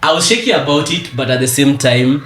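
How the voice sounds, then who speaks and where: A man's voice: medium pitch, a little fast, a bit bright. A Kenyan man in his twenties, a guest, chatting casually and switching between English and Swahili as he tells his story. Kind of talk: casual chat. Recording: roomy, faint noise.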